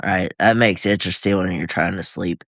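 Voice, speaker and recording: A man with a husky voice, nasal from a cold, chatting hesitantly as a guest on a live video from home. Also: deep voice, fairly even intonation, a bit bright, no room sound, studio quality. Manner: halting, hesitant, full of fillers. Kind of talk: casual live-video chat.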